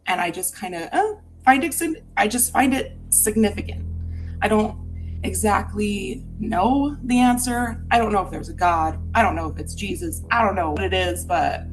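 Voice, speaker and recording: A woman's voice: medium pitch, animated, normal speed, faint music behind, poor audio. A woman from Utah telling a personal ghost story in an earnest, candid, reflective way.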